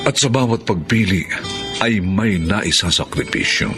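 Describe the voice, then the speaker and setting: A man's voice: sits low, expressive, unhurried. A man giving solemn, theatrical scripted narration as announcer of a Filipino radio drama. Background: music.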